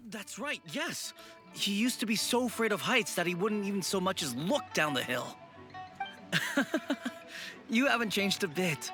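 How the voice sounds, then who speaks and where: An actor playing a gentle, earnest young man in an anime game. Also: high voice, animated, a little slow, faint music behind, full character voice. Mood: fond. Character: earnest young man, old friend of the ghost. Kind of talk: anime video game voice acting.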